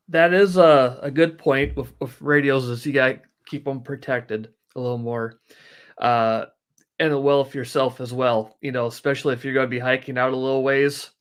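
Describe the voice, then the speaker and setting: A man's voice: medium pitch, fairly even intonation, moderate pace, a bit bright, clear, resonant tone. A man giving casual, practical explanations as a guest, in a matter-of-fact, step-by-step way. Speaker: guest, hobbyist sharing practical tips.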